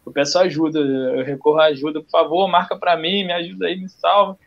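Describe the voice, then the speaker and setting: Dull, lo-fi sound carries a Brazilian man in casual discussion. His voice is slightly high for a man and he speaks informally. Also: little pitch movement, brisk, creaky. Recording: dull, lo-fi audio.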